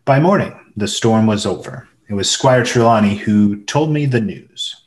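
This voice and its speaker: A man's voice: lowish voice, normal speed, natural voice. A man playing a storyteller who recounts a seafaring boyhood in scripted radio play narration. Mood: recounting.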